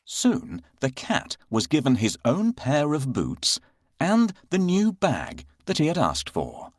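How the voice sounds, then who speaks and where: A man narrating a scripted children's story in a measured, expressive storyteller's manner. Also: on the high side, no room sound.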